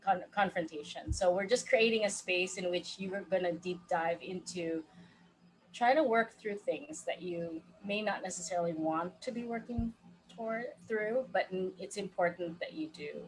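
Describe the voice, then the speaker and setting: A woman life coach with a loud voice, talking casually in an interview and laughing often. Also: fairly low pitch, normal speed, a little hiss or hum, low-quality recording. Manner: laughs often, speaks of holding space.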